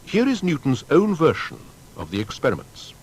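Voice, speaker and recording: A man narrating a scripted historical biography in a formal storytelling manner with deliberate emphasis. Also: a little high, wildly swooping pitch, breathy edge.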